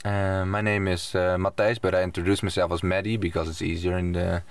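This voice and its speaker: A man's voice: low voice, flat delivery, a little fast, clear, resonant tone. A man with a Dutch accent, a native Dutch speaker, talking casually as an interview guest. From Netherlands. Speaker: interview guest, van traveler. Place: inside a camper van. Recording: slightly distant microphone.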